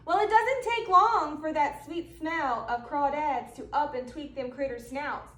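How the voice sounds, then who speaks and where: A woman reads a children's storybook aloud, slow and expressive, with Cajun-flavored turns of phrase. Her voice sits very high, and she lingers on each line. The room adds a clear echo. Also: far from the mic. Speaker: storybook reader. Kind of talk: animated read-aloud for children.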